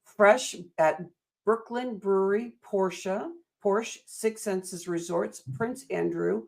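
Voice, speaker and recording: A woman's voice: mid-range voice, takes it slowly, creaky. An American woman hosting a motivational interview, enthusiastic and a little rambling, speaking in affirming phrases. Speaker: interview host.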